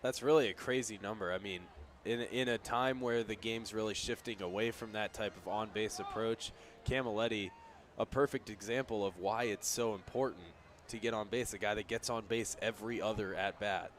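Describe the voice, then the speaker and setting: A man providing conversational color commentary on a radio baseball broadcast, explaining things in a measured way. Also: on the low side, fairly even intonation. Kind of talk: conversational sports analysis on radio. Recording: faint outdoor ambience and traffic-like noise.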